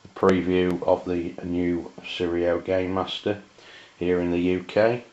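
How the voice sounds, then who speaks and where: A man with an English accent giving a casual hands-on product review in a halting, matter-of-fact way. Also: sits low, little pitch movement, darkish tone. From England. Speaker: amateur radio reviewer. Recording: dull, lo-fi camera sound.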